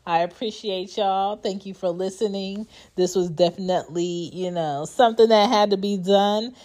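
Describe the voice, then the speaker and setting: A woman's voice: medium pitch, fairly even intonation, normal speed, a little mellow, dry recording. A woman in her thirties hosting a podcast, talking bluntly and emphatically with plenty of profanity. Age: 30s. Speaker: podcast host. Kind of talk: casual monologue.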